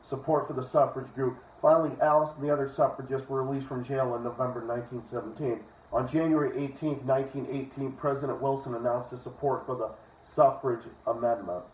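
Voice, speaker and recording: A man's voice: mid-range voice, fairly even intonation, a little fast, few pauses, a little mellow, slight background noise. A man reading a book aloud and breaking in with opinionated, sometimes profane commentary. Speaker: online reader and commentator. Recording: echoey room, distant dull microphone.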